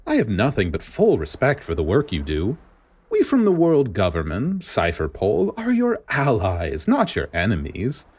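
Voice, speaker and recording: A grating, smug male bureaucrat in a story reading. The voice sits well above a deep natural register and swings widely in pitch, with sugary, condescending, theatrical politeness. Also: wildly swooping pitch, moderate pace, darkish tone, breathy edge, full character voice. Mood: sugary, wheedling. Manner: dramatic, sugary, wheedling condescension. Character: smarmy, grating male government director, fake-sweet and condescending.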